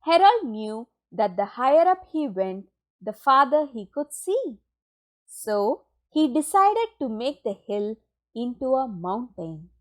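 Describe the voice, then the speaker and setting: A woman reading a children's picture book aloud in an expressive, deliberate storytelling manner with dramatic pauses. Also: a little high. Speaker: storybook reader.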